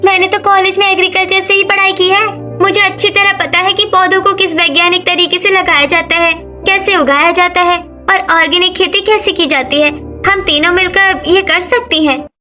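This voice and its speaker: A woman's voice: very high voice, steady tone, moderate pace, bright, forceful tone, faint music behind. A woman voicing an eager, educated young village daughter-in-law in a cartoon story. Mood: eager, confident.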